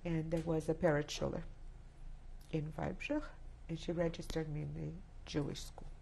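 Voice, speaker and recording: A Polish-born woman in her sixties, a native Polish speaker, giving reflective oral history testimony in a halting way, with many restarts. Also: deep voice, level tone, gravelly, darkish tone, a little hiss or hum. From Poland. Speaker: interviewee. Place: indoor studio set with plants.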